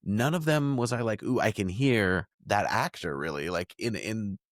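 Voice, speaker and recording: Low-voiced man chatting on a podcast. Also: normal speed, no echo, clean studio sound. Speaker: podcast co-host. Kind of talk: casual podcast discussion.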